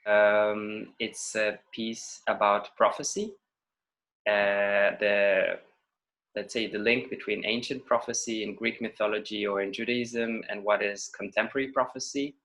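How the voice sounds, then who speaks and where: Bright-voiced, low-pitched Israeli man, reflecting. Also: little pitch movement, measured pace. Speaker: interview guest, choreographer and dancer. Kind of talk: reflective interview talk.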